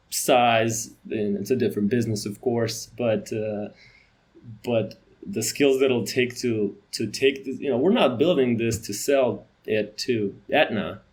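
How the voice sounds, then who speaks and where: A man in his thirties from Romania chats as a podcast guest, talking in quick bursts with restarts and small laughs. His voice sits in a middle register. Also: mid-range voice, darkish tone. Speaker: podcast guest, company CEO. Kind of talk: casual interview chat.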